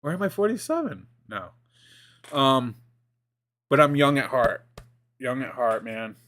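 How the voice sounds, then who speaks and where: A man in his forties chatting casually as a streamer, rambling and excitable, with lots of fillers and restarts. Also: medium pitch, unhurried. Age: 40s. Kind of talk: casual gaming chat.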